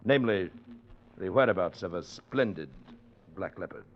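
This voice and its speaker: A suave, pompous gentleman villain. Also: sits low, lively intonation, normal speed. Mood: suave menace. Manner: pompous, florid, mock-polite. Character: suave, pompous, genteel fifty-ish male villain with a gun. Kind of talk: 1940s-50s radio acting. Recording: dull, faintly noisy old radio recording.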